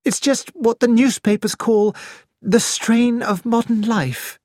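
A reader voicing a frightened young woman who keeps up a brittle, brave front, speaking quickly and shakily. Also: mid-range voice, a little mellow, dry recording, studio quality, voice pushed up. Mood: anxious.

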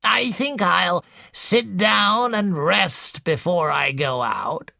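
Cruel old matriarch, slow gravelly drawl, bright edge, put on by a male narrator. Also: high-pitched, no room sound, voice pushed up, full character voice. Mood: gloating. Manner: slow, savoring, menacing. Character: cruel domineering elderly woman, gloating tyrant mother.

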